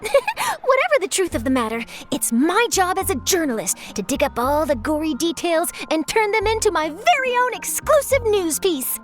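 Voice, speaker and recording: A woman's voice: very high-pitched, big pitch swings, normal speed, few pauses, faint music behind. A woman playing a perky, nosy young female reporter in a video game, speaking in an eager, confident, theatrical way. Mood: eager, persuasive.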